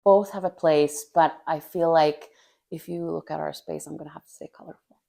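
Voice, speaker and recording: A low-pitched woman from Finland, an artist in her thirties, answers quick questions in a casual interview. She speaks earnestly and thoughtfully, warming into small laughs, from a table in a bright, art-filled living room. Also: steady tone, gravelly. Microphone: camera across a table.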